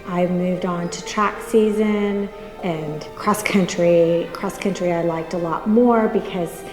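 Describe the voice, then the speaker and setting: A woman speaking earnestly and haltingly about her running community in an interview. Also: on the low side, darkish tone, reverberant, in a large hall, soft background music, rough recording. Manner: earnest, halting, many fillers. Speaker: interviewee, runner. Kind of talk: heartfelt interview talk.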